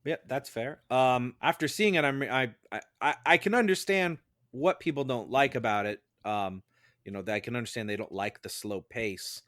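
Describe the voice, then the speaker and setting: A man discussing a film casually as a podcast juror, in a measured, halting way, thinking aloud. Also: medium pitch, fairly bright tone, no echo, clean studio sound. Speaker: podcast juror, jury foreman.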